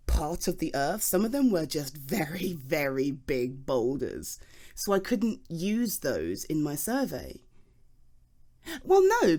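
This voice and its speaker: A woman acting out a nerdy, good-humoured geologist with a British accent and a slightly low voice. She talks in a chatty, halting way, as if explaining to one listener in an ASMR roleplay. She is flustered but keen and earnest about her subject. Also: darkish tone. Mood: flustered, defensive. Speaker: audio roleplay performer. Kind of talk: ASMR roleplay, conversational one-sided dialogue.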